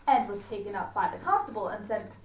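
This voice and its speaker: A woman reading a children's story aloud for a library audience, deliberately and with small stumbles. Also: medium pitch. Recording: echoey room, distant mic, faint noise.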